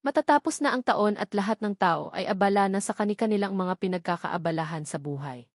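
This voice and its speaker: A woman's voice: mid-range voice, fairly quick, no room sound, clean studio sound. A woman with a Filipino accent reading a first-person novel as a scripted narration. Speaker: audiobook narrator.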